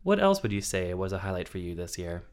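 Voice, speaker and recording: A man in his thirties with a hoarse voice, co-hosting a podcast and joking casually, swearing freely. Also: sits low, big pitch swings, fast talker. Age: 30s. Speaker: podcast co-host. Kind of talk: casual chat. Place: indoor studio, in San Francisco.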